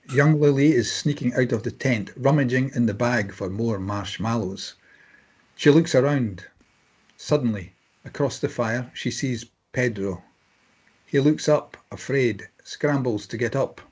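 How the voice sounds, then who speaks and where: A man's voice: medium pitch, measured pace, darkish tone, slightly rough. A man reading scripted stage directions as narrator at a table read.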